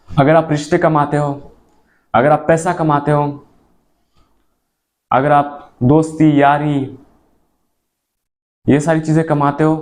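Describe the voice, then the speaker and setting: A young man speaking an earnest motivational monologue to his viewers, mixing in English phrases and swinging between emphatic and low moments. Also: a little mellow. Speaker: motivational vlogger.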